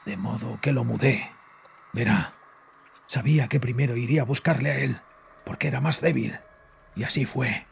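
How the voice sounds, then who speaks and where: Casting: haunted, bitter father on the psychiatrist's couch, heavy fry and husky rasp, agitated horror-fiction delivery. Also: medium pitch, exaggerated voice. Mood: haunted fear. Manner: agitated, halting, haunted confession. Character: guilt-ridden, frightened, bitter father confessing to his psychiatrist. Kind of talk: dramatized horror audio fiction. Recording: voice over background music.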